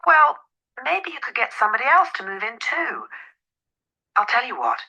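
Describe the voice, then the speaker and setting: Woman doing friendly letting agent lady, really lively n bright, helpful, scripted exercise chat. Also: on the high side, normal speed, gravelly, breathy edge, exaggerated voice, poor audio. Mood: helpful, upbeat. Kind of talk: scripted listening-test dialogue acting.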